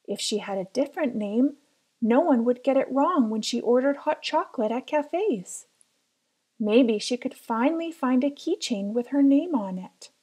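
A woman reading a children's picture book aloud as careful, expressive storytelling. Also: high voice, moderate pace, slightly rough. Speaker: storybook reader.

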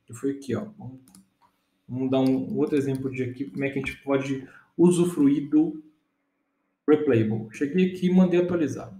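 A man's voice: mid-range voice, steady tone, normal speed, dark tone. Brazilian man teaching at a whiteboard, echoey. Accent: Brazilian Portuguese. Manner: explains step by step, keeps checking in with listeners. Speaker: data engineering instructor. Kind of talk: casual whiteboard lesson.

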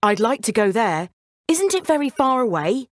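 Storybook boy, played by a woman: young, eager and hopeful, pitched up, very animated. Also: normal speed, dry recording, studio quality. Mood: curious. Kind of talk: children's bedtime story character voice.

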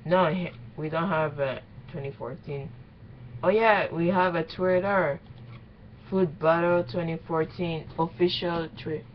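A young woman doing a casual reaction vlog in halting, exclaiming, informal English. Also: on the low side, fairly even intonation. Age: young adult. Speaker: reaction video maker. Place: small bedroom, indoors. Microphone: webcam at arm's length. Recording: dull lo-fi webcam sound, faint noise.

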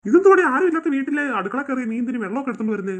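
A woman voicing a brisk father who waves off talk of ghosts, in a homemade toy play story. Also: high-pitched, big pitch swings, voice pushed down. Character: father, a grown man, brisk.

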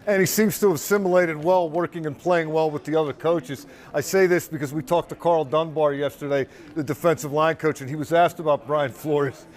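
A man's voice: a little high, fairly even intonation, brisk, hardly any pauses. A man in his sixties, a team reporter, talking through his analysis in a casual, conversational way outdoors at a practice field. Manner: conversational, analytical, occasional dry humor. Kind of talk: casual sideline chat.

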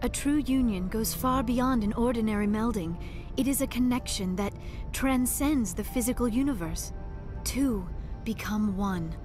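A woman's voice: on the high side, measured pace, music in the background, close to an everyday voice. A woman playing a young female alien scholar in a video game, earnest and reserved, with formal, precise speech. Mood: earnest, gentle. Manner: formal, precise wording, avoids contractions. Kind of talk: video game dialogue acting.

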